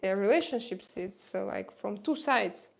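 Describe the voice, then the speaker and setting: A woman's voice: mid-range voice, animated, vocal fry, a little hiss or hum. A young woman with a Russian accent, chatting casually on a live stream and reading out viewers' messages, with many hesitant fillers. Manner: halting, many "uh" fillers, reads out chat messages.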